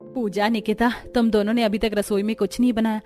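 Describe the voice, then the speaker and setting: A woman's voice: medium pitch, flat delivery, a little fast, dark tone, close to an everyday voice. A woman voicing a respectable, long-suffering older mother-in-law in a cartoon, speaking earnestly. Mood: instructing. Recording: music under the voice.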